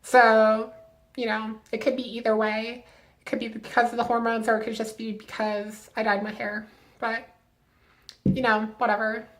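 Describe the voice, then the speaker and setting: Young woman vlogger, a touch high-pitched, chatty and casual, giving upbeat tips. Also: flat delivery, moderate pace, low-quality recording. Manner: chatty, lots of "like" fillers, upbeat advice-giving. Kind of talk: casual to-camera vlog.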